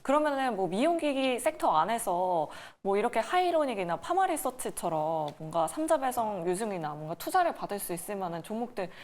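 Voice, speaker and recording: Animated woman hosting a market show. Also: medium pitch, fairly quick, few pauses. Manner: polite, inquiring. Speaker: host of a stock market show. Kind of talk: conversational broadcast hosting.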